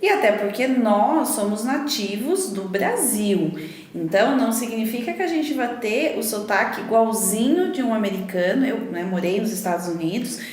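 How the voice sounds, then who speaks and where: A woman's voice: a little high, lively intonation, normal speed, a little mellow. Brazilian woman teacher, echoey room. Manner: explanatory, spells words out letter by letter. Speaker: English teacher, co-host. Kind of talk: casual podcast chat, explaining.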